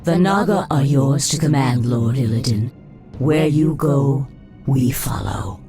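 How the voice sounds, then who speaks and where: A loyal serpent-folk servant voiced by a man, dark and muted in tone at a middling pitch, speaking with servile devotion. Faint music sits behind this fantasy game voice. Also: a little slow, natural voice. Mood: devoted. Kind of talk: fantasy video game cutscene acting.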